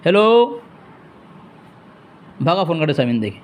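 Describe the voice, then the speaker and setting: Male debater, high-pitched voice, scolding and combative, hammers points through repetition. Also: sing-song, measured pace, slight background noise. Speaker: religious debater and commentator. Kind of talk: heated phone debate and polemic to listeners.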